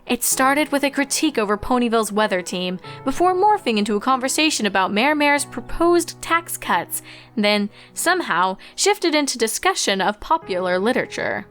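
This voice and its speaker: A woman reading a story aloud in an expressive, scripted narration style. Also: fairly high pitch, moderate pace, soft background music. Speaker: fan fiction narrator.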